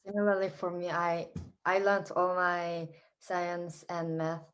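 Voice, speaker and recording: A young woman, a student, sharing calm, plain remarks on a video call. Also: lowish voice, unhurried, a little hiss or hum. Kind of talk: casual webinar remarks.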